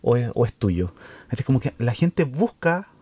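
A man, a co-host in a casual conversation, speaking in a measured way as he thinks aloud. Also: mid-range voice, sing-song, warm tone, slightly rough, dry recording.

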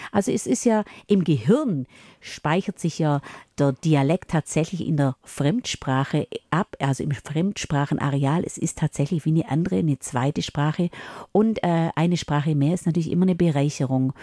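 A middle-aged woman with an Upper Swabian accent, a radio guest chatting casually and pausing often on fillers. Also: sits low, dark tone, no echo, clean studio sound. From Upper Swabia, Germany. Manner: chatty, hesitant with many fillers.

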